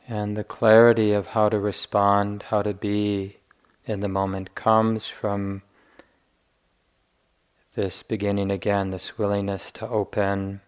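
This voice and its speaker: Male meditation teacher, gentle delivery, very slow pace, flat steady intonation, long pauses, deliberate phrasing. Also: on the low side, clean tone. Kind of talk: guided meditation instruction.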